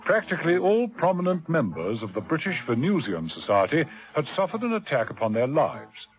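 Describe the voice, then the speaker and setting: A man narrating a 1970s radio drama in a dramatic, measured storytelling manner. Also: a little high, wildly swooping pitch. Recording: tinny old radio broadcast with music.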